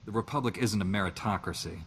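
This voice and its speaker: A man's voice: fairly low pitch, fast talker, in a large hall, a little hiss or hum, close to an everyday voice. A man voicing a dry, sardonic starship captain. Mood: wry. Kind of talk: video game voice acting.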